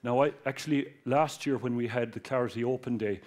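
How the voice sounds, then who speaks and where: A man's voice: mid-range voice, steady tone, a little fast, darkish tone. A man with a Cork Irish accent giving a public talk to students, joking and addressing the audience directly. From Ireland. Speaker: guest speaker, research engineer.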